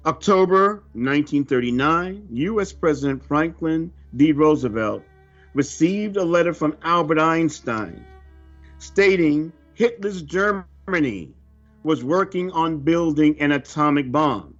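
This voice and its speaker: A man reading a scripted religious report aloud in a solemn, deliberate, emphatic manner. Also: on the high side, expressive, a bit bright. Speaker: religious reader of a prophecy report. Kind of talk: scripted narration, read aloud. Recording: dull lo-fi audio under music. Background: music.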